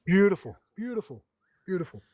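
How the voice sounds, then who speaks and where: Husky, emphatic Lebanese-born man. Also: high voice, sing-song, fairly quick, darkish tone. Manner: emphatic, repeats himself. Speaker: caller in a live debate stream. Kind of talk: heated casual debate.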